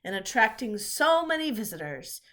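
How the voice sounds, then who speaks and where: A woman voicing a pompous male announcer at a county fair, speaking formally with drawn-out emphasis. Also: a little high, very animated, a bit bright. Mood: orotund.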